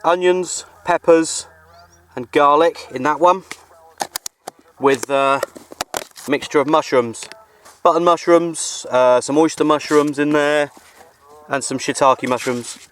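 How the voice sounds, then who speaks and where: A man's voice: fairly high pitch, slow pace, pauses often, a bit bright. A man chatting casually outdoors, with informal, matey slang, like a radio hobbyist making a vlog. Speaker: amateur radio operator and vlogger. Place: outdoors on a hill. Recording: clear outdoor background noise.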